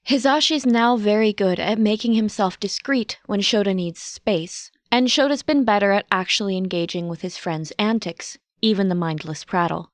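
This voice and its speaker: A woman's voice: mid-range voice, no echo. Woman narrating fiction, dry close sound. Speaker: podfic narrator. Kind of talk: scripted narration, reading fiction aloud.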